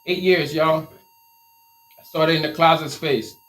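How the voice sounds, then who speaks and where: A man's voice: a little high, measured pace, low-quality recording. Upbeat Liberian man hosting a live stream. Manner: energetic, motivational, repeats phrases. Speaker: t-shirt business coach.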